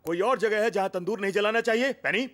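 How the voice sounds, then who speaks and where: A man voicing a bossy, boastful, food-loving villager in an animated children's cartoon dub. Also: high voice, quick pace, background noise, lightly acted. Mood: instructive, eager.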